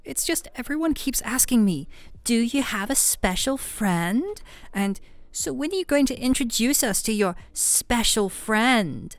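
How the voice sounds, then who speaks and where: A woman's voice: a little high, no room sound, heavily acted. A woman playing a shy, flustered best friend with a hidden crush in an intimate audio roleplay, talking in a hesitant, teasing, conversational way. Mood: wry, weary.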